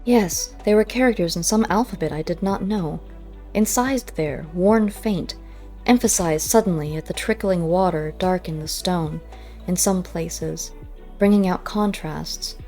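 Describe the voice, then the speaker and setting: A woman reads a first-person story in a fairly low voice with a very soft, dark tone. Her delivery is measured and literary, as an audiobook narrator. Quiet music runs behind her.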